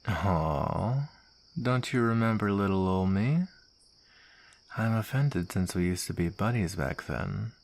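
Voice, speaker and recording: Man playing a slow, gravelly, smug villain. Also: fairly low pitch, animated, exaggerated voice. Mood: mocking, smug. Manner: drawling, mockingly sweet, menacing. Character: taunting, smug male soldier villain, former comrade. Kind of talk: ASMR roleplay voice acting.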